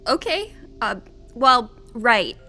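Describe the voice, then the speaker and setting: Female, young brainy sci-fi officer, earnest, formal, bright forward tone, light music bed. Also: on the high side, sing-song, slow pace, heavily acted. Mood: tentative, eager. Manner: crisp, deferential, earnest, technical. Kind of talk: sci-fi audio drama acting. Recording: audio drama with music underneath.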